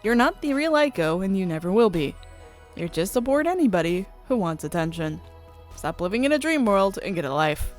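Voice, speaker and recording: A woman's voice: medium pitch, sing-song, brisk, close to an everyday voice. Woman acting a snippy, sceptical idol superfan. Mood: indignant, scornful. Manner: snippy, blunt, indignant. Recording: music playing behind the voice.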